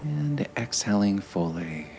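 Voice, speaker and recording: A man narrating a scripted guided meditation in a gentle, measured way, with frequent pauses. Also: fairly low pitch, sing-song, vocal fry, background noise.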